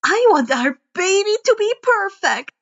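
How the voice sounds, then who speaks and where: A woman playing an excitable high-pitched girl inventor. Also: a little slow, muffled recording, exaggerated voice. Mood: excited, eager. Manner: bubbly, exclamatory. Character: excitable young woman inventor, eager and proud.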